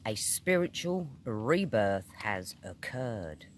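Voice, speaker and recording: A man with a British accent reading a spiritual essay aloud in a measured, emphatic, oratorical manner. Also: on the low side, very animated, a little hiss or hum. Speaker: reader of metaphysical essays.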